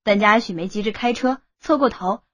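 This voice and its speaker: A woman's voice: mid-range voice, brisk, no echo. A woman narrating a scripted story as an audiobook reader.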